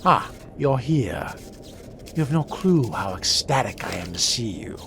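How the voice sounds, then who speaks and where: A man role-playing a sardonic, predatory vampire in solo game commentary, with wry, theatrical delivery and formal turns of phrase, under loud music. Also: medium pitch, big pitch swings, measured pace, creaky, slight background noise. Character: sardonic, predatory fledgling vampire stalking prey. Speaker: gamer role-playing through a video game.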